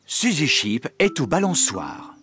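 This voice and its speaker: A man playing the friendly storybook narrator of a preschool cartoon, telling the story clearly. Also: a little high, huge pitch swings, slow pace, exaggerated voice. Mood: storytelling. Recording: music behind.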